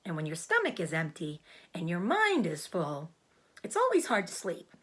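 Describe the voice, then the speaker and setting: A woman reading a children's book aloud like a teacher, narrating expressively and clearly for young listeners. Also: fairly low pitch, moderate pace. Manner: expressive, explains hard words. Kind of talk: storybook read-aloud narration.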